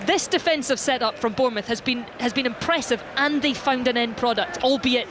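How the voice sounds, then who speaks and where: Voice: high-pitched, a little fast. A football commentator describing live match play in a radio sports commentary style, with outdoor stadium ambience behind. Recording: clear ambient noise behind.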